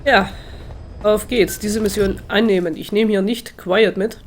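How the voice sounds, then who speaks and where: Slow-paced, stuffy-nosed man commentating. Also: high voice, fairly even intonation, a little hiss or hum. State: slightly congested from a cold. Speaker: Let's Play host. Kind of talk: casual game commentary.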